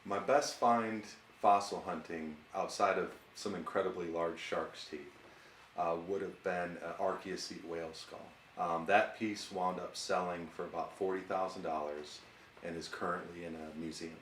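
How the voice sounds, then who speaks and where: A man's voice: low voice, fairly even intonation, normal speed, a bit bright. A man who hunts fossils, speaking reflectively in a documentary interview style. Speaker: fossil hunter. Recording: roomy, distant mic, faint noise.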